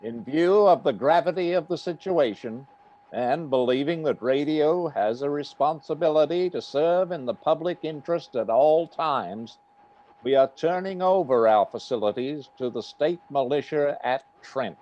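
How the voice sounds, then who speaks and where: A man's voice: fairly high pitch, lively intonation, moderate pace, dark tone, muffled recording, close to an everyday voice. A man playing a solemn radio company executive reading a formal official statement in a radio drama.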